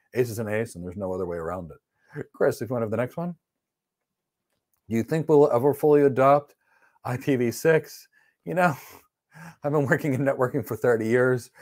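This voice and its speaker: A middle-aged man with a voice of middling pitch runs a live networking class. He is blunt and emphatic and answers each question matter-of-factly. He speaks from an indoor studio to a camera framed at chest height. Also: a little mellow. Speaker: networking instructor. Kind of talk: live-stream Q&A teaching. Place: indoor studio with virtual backdrop.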